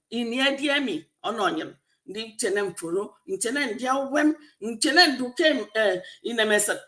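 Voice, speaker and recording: A woman's voice: a little high, bright, forceful tone. A Nigerian woman, a native Efik speaker, co-hosting a casual online discussion with a Nigerian accent. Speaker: co-host of a discussion show. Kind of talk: casual online panel chat.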